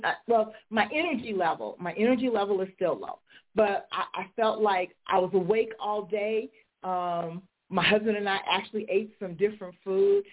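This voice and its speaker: A woman talk show host preaching with fervor, her voice slow, husky and congested from illness. She comes through a thin, tinny phone line. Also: on the low side. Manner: fervent, decreeing, rising to preaching fervor. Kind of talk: faith-based radio talk, preaching.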